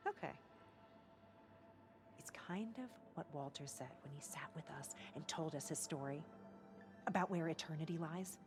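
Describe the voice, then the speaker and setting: A woman's voice: sits low, animated, normal speed, long pauses, music in the background. A woman playing an earnest, devout cult convert in a video game, a former marine who speaks reverently and a little hesitantly. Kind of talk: video game cutscene acting.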